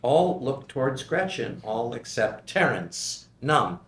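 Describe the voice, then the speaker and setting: A male narrator reads scripted stage directions. His voice sits at a medium pitch and has the roomy echo of a table-read recording. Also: sing-song, takes it slowly, a bit bright.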